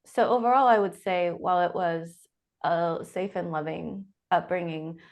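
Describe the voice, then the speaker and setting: A woman's voice: on the low side, fairly even intonation, normal speed, vocal fry, muffled recording. A woman in her forties from the Pacific Northwest, answering interview questions reflectively as an author guest. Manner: reflective, thinks aloud with restarts.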